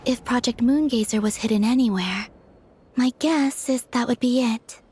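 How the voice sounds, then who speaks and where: A woman voicing a calm, perceptive and gently kind young investigator in a video game, speaking in a measured, thoughtful, soft-spoken way. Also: a little high, a little hiss or hum, lightly acted. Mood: thoughtful, analytical.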